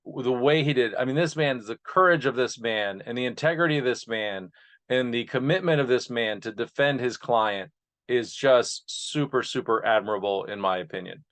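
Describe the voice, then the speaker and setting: A man's voice: mid-range voice, normal speed, a bit bright. A man speaking earnestly as host and narrator, presenting material to his listeners. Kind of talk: informal introduction, then reading a speech aloud.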